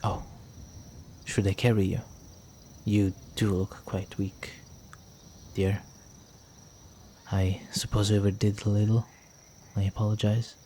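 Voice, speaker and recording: Low, slow, seductive vampire gentleman, acted by a man. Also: flat delivery, slight background noise. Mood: gently amused. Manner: seductive, courtly, teasing, with breathy murmurs. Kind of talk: intimate roleplay audio drama.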